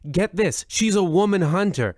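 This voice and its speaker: An actor playing a self-important, deluded radio anthology host, delivering a pompous, wryly rambling monologue in old-time style. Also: male voice, high voice, animated, normal speed, darkish tone, no echo, studio quality. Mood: wry, animated.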